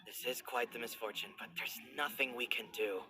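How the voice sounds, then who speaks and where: A man's voice: mid-range voice, brisk, over music, natural voice. A man playing a conscientious, put-upon theater manager in a video game. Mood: resigned.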